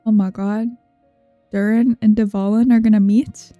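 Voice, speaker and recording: A woman's voice: medium pitch, little pitch movement, a little slow, narrow, tinny audio. A young woman giving casual, rambling, playful game commentary as she thinks aloud. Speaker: game streamer. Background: soft game music.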